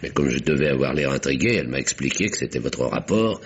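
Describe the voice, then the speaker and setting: Very deep-voiced, insistent old gentleman. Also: lively intonation, fast. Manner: formal, articulate, probing. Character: earnest, insistent old uncle and gentleman friend. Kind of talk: old French radio drama acting. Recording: dull, noisy vintage radio recording.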